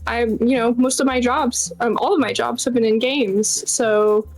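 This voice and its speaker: A woman's voice: a little high, steady tone, unhurried, a little mellow, faint music behind, low-quality recording. A young woman chatting casually as an interview guest, with a bubbly, enthusiastic manner. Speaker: game developer guest.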